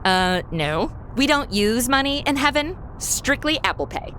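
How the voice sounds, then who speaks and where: A woman's voice: high voice, sing-song, a little slow, fairly bright tone, a little hiss or hum, full character voice. A woman playing a sassy, flippant young guardian angel in a comedy audio drama, talking in blunt, sarcastic modern slang.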